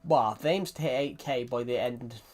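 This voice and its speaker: A young streamer with a Southwest English accent talks in a loose, rambling way, often restarting sentences and answering his chat. His voice sits at an ordinary middle pitch. Faint music and keyboard typing run underneath. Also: normal speed, darkish tone. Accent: Southwest England. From England. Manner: rambling, restarts a lot, reads chat aloud. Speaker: game streamer. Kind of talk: casual live-stream chat.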